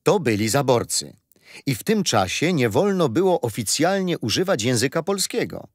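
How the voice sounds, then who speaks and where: An actor playing a patient, gentle grandfather telling children a history story in a radio play. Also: mid-range voice, big pitch swings, moderate pace, dry recording, studio quality. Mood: patient, fond. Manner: gentle, didactic.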